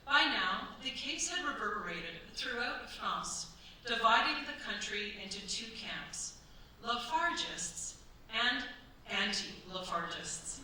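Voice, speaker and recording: Actress as female narrator for crime radio drama: formal, dramatic, bright, with heavy hall echo and some distance. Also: fairly high pitch, big pitch swings, slow, full character voice. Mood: grave, dramatic. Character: dramatic female storyteller of a historical crime case. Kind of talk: scripted radio play narration. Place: staged performance in a cultural centre. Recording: echoey hall, distant mic, stage noise.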